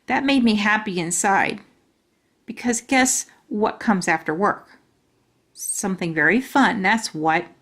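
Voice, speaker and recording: A woman's voice: medium pitch, a little slow, a bit bright. A woman reading a children's storybook aloud as a storytime reader.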